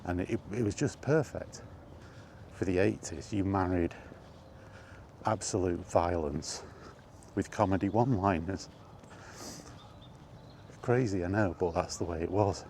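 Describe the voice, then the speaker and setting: A man's voice: sits low, a little slow, long pauses, darkish tone. A man giving a casual, conversational monologue as a vlog host, often throwing in rhetorical questions and asides. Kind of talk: casual film chat monologue. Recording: clear background ambient noise.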